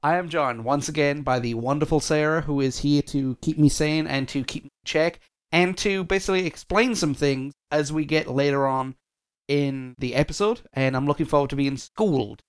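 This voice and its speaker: A man's voice: a little high, normal speed, clear, resonant tone. A man hosting a music podcast in a rambling, self-deprecating, chatty way, his audio sounding compressed and dull. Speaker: podcast host. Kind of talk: casual podcast chat.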